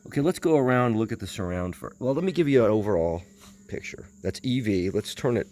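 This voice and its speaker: A man with a low voice talks his way through a close inspection on camera. He rambles and hesitates, often muttering to himself. There is faint noise behind him. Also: expressive, fast talker, a little mellow. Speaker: vintage audio enthusiast presenting a video. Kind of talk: unscripted hands-on inspection commentary.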